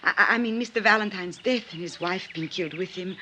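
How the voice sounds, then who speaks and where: Bright-voiced, flustered housekeeper. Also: female voice, on the low side. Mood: weary, pleading. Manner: hesitant, halting, clipped phrases. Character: flustered, deferential Italian-surnamed housekeeper woman, weary and protective. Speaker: actress in a radio drama. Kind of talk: 1950s American radio drama acting. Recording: noisy old 1950s radio recording.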